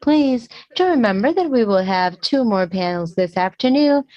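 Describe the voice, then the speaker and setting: A woman hosts a conference and signs off slowly and courteously. The recording is dull and muffled, and it sounds dry and close. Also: fairly high pitch, lively intonation, dry recording. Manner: slow, courteous sign-off. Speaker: event host. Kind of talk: formal closing remarks.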